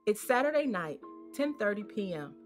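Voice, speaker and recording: A woman's voice: mid-range voice, very animated, moderate pace. A woman with an American accent narrating a scripted story as its storyteller. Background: music.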